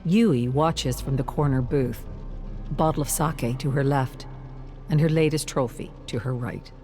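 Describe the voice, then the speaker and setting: A narrator reads a dramatic story in a medium-pitched voice. The delivery is measured and wistful, with faint music underneath. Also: a little mellow.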